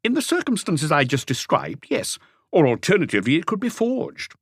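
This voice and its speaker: A man voicing an eager, precise, excitable male handwriting expert explaining things in an audiobook. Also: a little high, moderate pace, husky, no room sound, studio quality, pitched above the performer's own voice, exaggerated voice.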